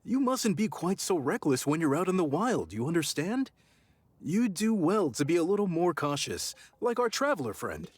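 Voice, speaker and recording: Kindly cook and protective father for a game: genial, animated, a little high. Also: moderate pace, husky. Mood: fond, cautioning. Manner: genial, gently admonishing. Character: kindly, fussing middle-aged father and cook. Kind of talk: video game voice acting.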